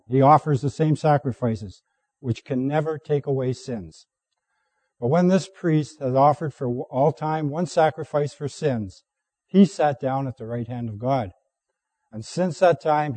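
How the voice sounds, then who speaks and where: A hoarse man preaching a sermon, reading scripture aloud at a measured, deliberate pace. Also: on the high side, warm tone, dry recording.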